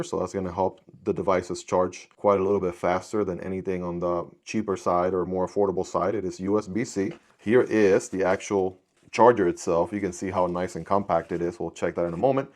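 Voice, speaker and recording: A man with a fairly low voice whose first language is Spanish, chatting through a gadget unboxing. He keeps it relaxed and jokey, tossing in little sound-effect words as he shows things off. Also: a little fast. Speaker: product reviewer. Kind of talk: casual unboxing review.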